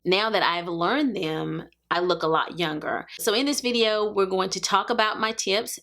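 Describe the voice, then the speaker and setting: A woman's voice: fairly low pitch, gravelly, fairly bright tone. A woman in her forties hosting a makeup tutorial, chatting to camera in an earnest, emphatic way and repeating points for stress. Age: 40s. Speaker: beauty vlogger.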